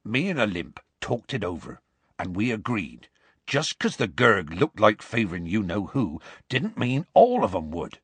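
Huge gruff bloke for an audiobook, raspy, rambly, warm hearted, really animated. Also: male voice, fairly low pitch, unhurried, no echo, heavily acted. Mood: earnest explaining. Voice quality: gruff, growly. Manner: colloquial, rambling, dropped consonants. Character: big gruff rustic man, warm-hearted, indignant storyteller.

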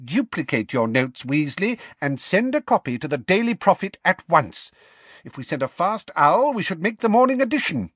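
A man voicing a pompous, excitable middle-aged government minister from a storybook, blustering and spluttering with emphasis. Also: on the high side, takes it slowly, no room sound, voice pushed up, full character voice. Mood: smugly triumphant.

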